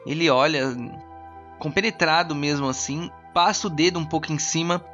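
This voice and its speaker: A man's voice: on the high side, fairly even intonation, moderate pace, bright, forceful tone, dull audio, faint music behind. A man with a Brazilian accent improvising narration as game master, conversational, often repeating himself as he searches for words.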